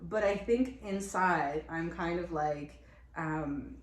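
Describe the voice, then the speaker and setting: A woman's voice: fairly low pitch, takes it slowly. Chatty young woman in a roomy space. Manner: chatty, animated, laughs often, lots of fillers. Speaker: podcast co-host. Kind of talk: casual chat between friends. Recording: roomy with faint noise.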